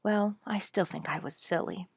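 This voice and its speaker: A woman narrator plays a devoted storybook mother, earnest and quick to exclaim. Her voice sits fairly low and is recorded dry, with a dramatic, self-deprecating turn. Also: creaky, slightly rough, dry recording, exaggerated voice. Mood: self-mocking, rueful.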